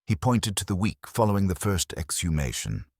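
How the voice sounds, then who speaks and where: Very deep, husky male story narrator. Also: big pitch swings, moderate pace, no echo, studio quality. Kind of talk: scripted narration of a mystery story.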